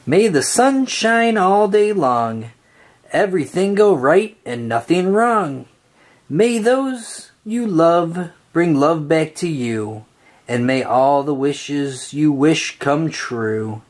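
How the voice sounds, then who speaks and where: A storybook reader reading a children's picture book aloud in an expressive, sing-song way with drawn-out phrases. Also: mid-range voice.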